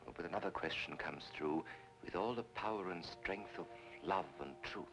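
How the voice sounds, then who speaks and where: Man playing a low-voiced suave fake psychic. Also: music in the background, lightly acted, rough recording. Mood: mystical, intense. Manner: slow, theatrical, coaxing with dramatic pauses. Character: suave fake male psychic, smooth and seductive. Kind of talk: 1940s film noir acting.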